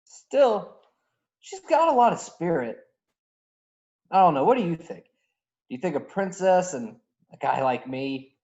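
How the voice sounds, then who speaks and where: Brief: cocky mercenary space pilot played by a woman, lowish voice, very lively swings, breezy and teasing. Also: a little slow, pauses often, lo-fi sound. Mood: wry, teasing. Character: cocky, self-interested young male smuggler pilot. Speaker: actor in a table read. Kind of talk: virtual script table read.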